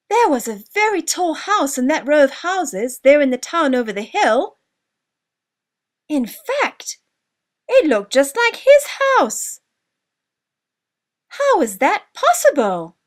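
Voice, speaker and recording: A woman reads a children's story in a high voice at a slow, measured pace. Her intonation swings up and down expressively, and she places deliberate emphasis on key words. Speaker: author narrating own children's story. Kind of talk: scripted children's story narration.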